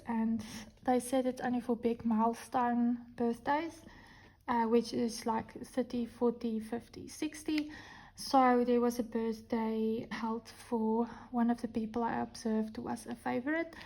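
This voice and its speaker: 沙哑低沉的南非口音女声. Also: female voice, on the high side, a little mellow, low-quality recording. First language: Afrikaans. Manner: chatty, candid storytelling. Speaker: diamond painting vlogger. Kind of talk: casual chat while crafting. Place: indoors at a craft table. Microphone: camera over the hands, speaker off-frame.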